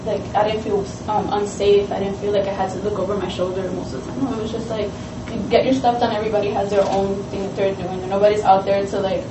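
A woman's voice: medium pitch, little pitch movement, fast talker, noisy background. Woman student panelist, from Honduras, casual and chatty; distant mic in an echoey room. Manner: chatty, frequent "like" fillers.

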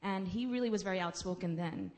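An earnest woman from the United States addresses a college audience as a panelist. Her voice sits at a middle pitch, and she talks in a personal, slightly rambling way. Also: fast talker, darkish tone. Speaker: panelist, community organizer and lawyer. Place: college event room.

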